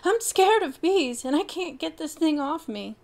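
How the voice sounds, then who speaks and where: Panicky pup played by a woman, loud high yelps, storybook. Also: lively intonation, moderate pace. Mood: panicked. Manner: loud, yelping. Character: young dog, easily scared and loud.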